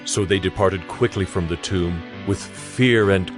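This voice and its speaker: A man narrates scripture in a very deep register. His delivery is solemn and measured, storytelling in a dramatized style, with music playing clearly behind him.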